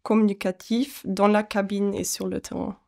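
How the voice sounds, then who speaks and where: A woman's voice: mid-range voice, level tone, normal speed, vocal fry, mellow. A woman interviewing a footballer, reading out prepared questions. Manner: reads prepared questions, friendly laughs.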